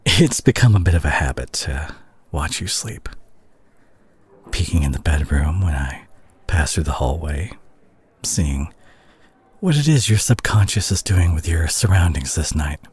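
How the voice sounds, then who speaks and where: Weary male superhero played by a male voice actor for intimate audio drama: husky, low, expressive, concerned. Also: full character voice. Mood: tired, tender concern. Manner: hushed, halting, sighing, turning exasperated. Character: weary, worried male superhero at a teammate's hospital bedside. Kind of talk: intimate audio roleplay addressed to the listener.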